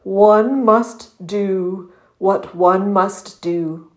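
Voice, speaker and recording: Lady doing big sad muskox guy from a kids book, super slow n whiny, anxious, kinda vain, croaky. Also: medium pitch. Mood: weakly resigned. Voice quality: croaking with misery. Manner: whiny, drawn-out, melodramatic lamenting. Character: miserable, vain, anxious large male muskox.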